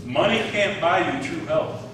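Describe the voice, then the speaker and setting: A man's voice: on the high side, normal speed, over music. Man in his sixties preaching in an echoey hall. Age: 60s. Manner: conversational preaching, asks the congregation questions. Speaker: pastor. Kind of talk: sermon. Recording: echoey hall, distant mic.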